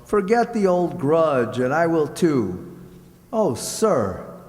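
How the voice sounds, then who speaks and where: A man's voice: on the high side, very animated, a little mellow. Man acting an earnest, lilting storybook lad. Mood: earnest, hopeful. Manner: halting, stammering. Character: humble, earnest, lovestruck young lad from folktale. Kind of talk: stage-like radio play acting. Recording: echoey hall.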